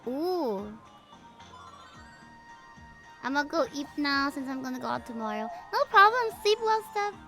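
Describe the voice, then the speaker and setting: High, bright young woman streamer. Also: measured pace, pauses often, soft background music. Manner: bubbly, expressive, playful exclamations. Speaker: livestreamer. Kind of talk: casual gameplay commentary with chat replies.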